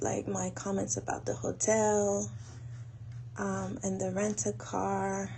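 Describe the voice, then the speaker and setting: Slow-talking woman thinking aloud, noisy background. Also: medium pitch, vocal fry, warm tone. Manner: unhurried, halting, thinking aloud while doing tasks. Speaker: host of a planning audio log for a women's group. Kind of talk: casual think-aloud audio log. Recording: noticeable background noise.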